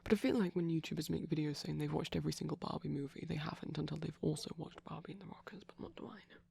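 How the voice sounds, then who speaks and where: A man playing a shy, gentle vampire boyfriend in an intimate ASMR roleplay, soft-spoken, breathy and creaky, rambling hesitantly and dipping toward whispers. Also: on the high side, fairly even intonation, quick pace, slight background noise. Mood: rambling, gently teasing.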